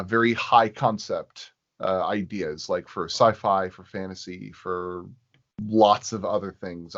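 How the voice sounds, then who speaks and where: A man chatting casually as an interview guest, explaining his work in a halting, rambling way. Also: on the low side. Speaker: sound designer, podcast guest. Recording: dull, lo-fi audio.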